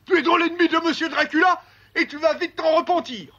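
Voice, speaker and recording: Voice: very high voice, fast, a bit bright, dull audio. An actor voicing an arrogant animal henchman in a cartoon, with a haughty, threatening manner. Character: arrogant animal henchman demanding tribute for his master. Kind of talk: French cartoon dub acting.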